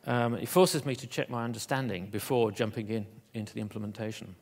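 A man giving a conference keynote in an auditorium, talking in a halting way with many fillers and restarts. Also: lowish voice, vocal fry, darkish tone. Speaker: keynote speaker.

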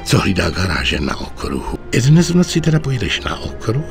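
A middle-aged mafia don in a video game. His low voice creaks and swings widely in pitch. He hands out orders deliberately and expects obedience. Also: huge pitch swings, soft background music, exaggerated voice. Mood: scheming, commanding. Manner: authoritative, deliberate. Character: commanding middle-aged male mafia boss giving orders. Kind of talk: dubbed video game cutscene acting.

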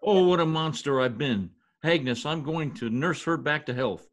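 A man's voice: fairly high pitch, moderate pace, lightly acted. A man in his sixties playing a grandiose, melodramatic, blustering husband in an old-time radio comedy over a video call. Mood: alarmed, dramatic. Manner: theatrical, grandiose, overwrought. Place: home office, video call. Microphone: computer mic on video call.

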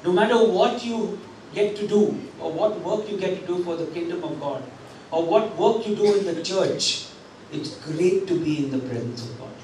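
A man's voice: sits high, moderate pace, darkish tone, slight background noise. A man in his thirties preaching a sermon as pastor into a handheld mic in a church hall, talking conversationally with many fillers and restarts. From India. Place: church hall with drum kit. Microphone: handheld microphone. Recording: very echoey hall, picked up from a distance.

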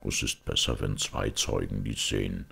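A man voicing a grave, quiet priest detective in an audiobook, slow, hushed and solemn. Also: a bass voice, flat delivery, vocal fry, husky, pitched below the performer's own voice, heavily acted. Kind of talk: audiobook character voice.

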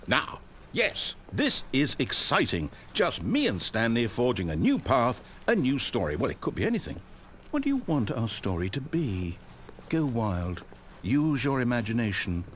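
A man's voice: mid-range voice, huge pitch swings, moderate pace, slight background noise. A man with a British accent playing a fussy, whimsical, easily exasperated storyteller in a video game, conversational and theatrical. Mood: scheming, eager. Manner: conversational, self-interrupting, dramatic. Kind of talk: theatrical scripted narration.

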